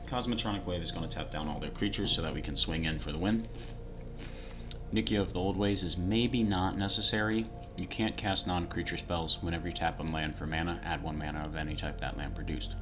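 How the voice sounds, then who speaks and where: A man with a very low, deep voice talks through card choices in a relaxed, meandering way, pausing on ums and uhs. His sensitive mic catches him up close, with music playing clearly behind him. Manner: relaxed, thinks aloud with fillers. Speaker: streamer. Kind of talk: casual deck-building chat. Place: home, late at night. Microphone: sensitive desk mic. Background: background music.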